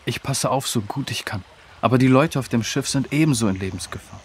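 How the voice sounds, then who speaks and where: Brave young male leader played by a man, kids' audio drama, decisive, medium pitch, faint background ambience. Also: brisk, slight background noise, close to an everyday voice. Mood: earnest, resolute. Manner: decisive, instructing. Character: brave, responsible young man leading a river rescue.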